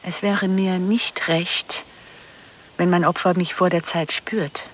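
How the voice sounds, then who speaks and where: An actress playing a businesslike female contract killer in a radio play, measured, probing and matter-of-fact. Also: fairly low pitch, fairly even intonation, a little hiss or hum. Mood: cool, businesslike.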